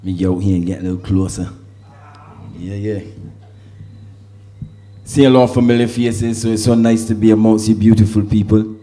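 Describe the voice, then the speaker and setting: A man, a reggae singer, making relaxed banter to the audience before his song. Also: lowish voice, normal speed, long pauses. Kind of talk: casual stage banter.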